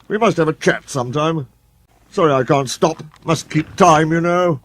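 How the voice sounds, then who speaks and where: A man's voice: fairly high pitch, moderate pace, pitched above the performer's own voice, lightly acted. A man voicing a pompous, conceited male steam engine in a children's story, sulky and complaining.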